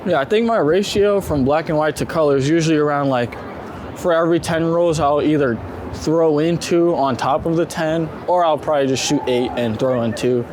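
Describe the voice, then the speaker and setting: A man chatting casually and excitably in slangy speech while out walking as a street photographer. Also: a little high. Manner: excitable, slangy, quick to gush. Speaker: street photographer being profiled. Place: city street, outdoors. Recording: outdoor street noise, passing traffic. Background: urban ambience and vehicles.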